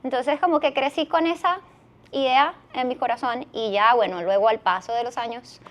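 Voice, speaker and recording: A woman's voice: sits high, normal speed. A woman in her thirties with a Venezuelan accent, telling a personal story conversationally as a podcast guest.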